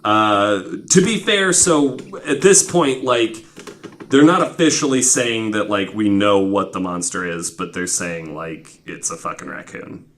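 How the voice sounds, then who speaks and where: Low-pitched man, casual podcast host. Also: unhurried, a bit bright. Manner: casual swearing, emphatic. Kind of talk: casual storytelling chat. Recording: clearly noisy recording with clicks.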